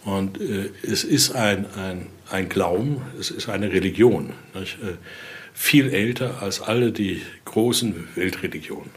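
Older man, low voice, explaining a collection. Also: unhurried. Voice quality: non-native-sounding German with grammar slips. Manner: frequent questioning tags at sentence ends. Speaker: Voodoo researcher and collector. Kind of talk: explaining collection items to visitors in conversation.

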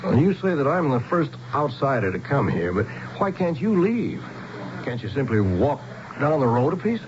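A man's voice: medium pitch, very animated, moderate pace, natural voice. An actor playing a bewildered, skeptical American man stranded as an outsider in a 1970s radio drama. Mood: skeptical, puzzled. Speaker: actor and narrator. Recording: muffled, noisy old radio broadcast.

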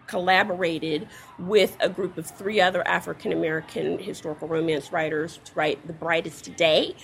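A woman author being interviewed casually, pausing often with fillers. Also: on the low side, gravelly, fairly bright tone, a little hiss or hum. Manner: halting, with many fillers. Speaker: guest author.

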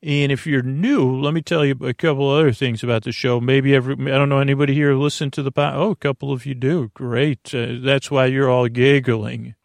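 A man with a gravelly, creaking voice at a middling pitch rambles as host of a bedtime podcast. He is halting and repetitive, stretching words and drifting into goofy tangents. The sound is close and dry. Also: fairly even intonation, dry recording. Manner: drawn-out words, droning; goofy, self-deprecating. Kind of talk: rambling improvised bedtime monologue.

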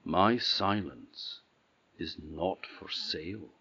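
A man voicing a calm, steadfast young gardener in a fairy tale, quiet and measured. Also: sits low, slightly rough, dull audio, full character voice. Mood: firm.

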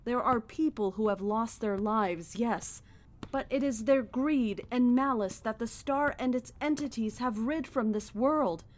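An actress playing a serene, fanatical cult leader in a horror audio drama, speaking in a measured, solemn, preaching way. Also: female voice, fairly high pitch, natural voice. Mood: calm zeal.

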